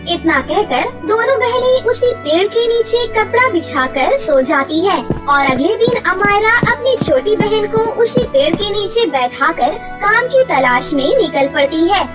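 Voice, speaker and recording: A woman's voice: very high voice, sing-song, unhurried, few pauses. A woman narrating a children's story in a dramatic, scripted storytelling style. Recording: music under the voice. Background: background music.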